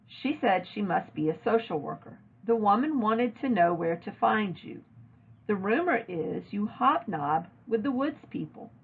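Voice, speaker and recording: A woman's voice: medium pitch, very animated, unhurried, creaky, breathy edge, exaggerated voice. A woman voicing a firm, motherly woman speaking to her young daughter in a storybook read-aloud. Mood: tense, firm. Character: tense, weary mother of a young girl. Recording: narrow, phone-like lo-fi audio.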